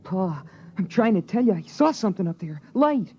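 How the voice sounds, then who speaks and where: A man's voice: high-pitched, very animated, normal speed, mellow, husky, heavily acted. Boy narrator, anxious and eager, old radio play, dull lo-fi sound. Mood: insistent. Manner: earnest, breathless boyish delivery. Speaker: narrator and young son. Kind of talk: 1950s radio drama acting. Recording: muffled 1950s radio broadcast.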